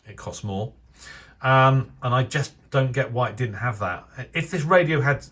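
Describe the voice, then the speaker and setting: A British man giving a casual, unscripted review commentary in a measured, opinionated way, feeling for his phrasing as he goes. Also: mid-range voice, lively intonation, fairly bright tone. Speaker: radio enthusiast reviewer. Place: voice-over over still images.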